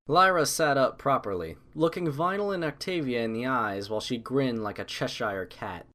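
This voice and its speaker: A man's voice: medium pitch, expressive, normal speed, pushed and bright. A man reading scripted story narration.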